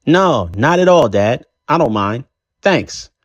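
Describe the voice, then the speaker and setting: Guy doing an upbeat teenage boy, pitched way up, dry close audio. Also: animated, takes it slowly, no room sound, muffled recording. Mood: eager. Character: eager teenage boy rapper and chess player.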